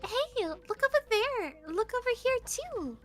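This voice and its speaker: Casting: man as eager young girl for a mystery game, squeaky, sky-high, slow and drawn-out. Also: big pitch swings, faint music behind, heavily acted. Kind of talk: visual novel character read aloud.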